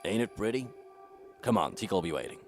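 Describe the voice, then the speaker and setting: A man's voice: low-pitched, sing-song, fairly quick, soft background music. An actor playing a brash, foul-mouthed man in a voiced comic, sardonic, abrasive and theatrical. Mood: sardonic, enthusiastic. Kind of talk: voiced comic audio drama.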